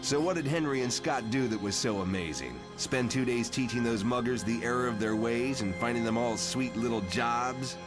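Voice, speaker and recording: A man voicing a gruff, cocky mutant brawler who leads a covert team in a motion comic. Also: medium pitch, normal speed, few pauses, lightly acted. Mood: indignant. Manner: gruff, boastful, slangy. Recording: loud music behind.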